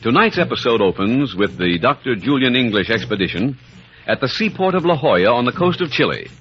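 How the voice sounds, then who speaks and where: A declamatory radio announcer played by a man with a medium-pitched, highly animated voice. He delivers scripted narration theatrically, in vintage serial style. The recording is dull with faint noise. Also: a little fast, natural voice. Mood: portentous. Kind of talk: old-time radio announcing, scripted recap.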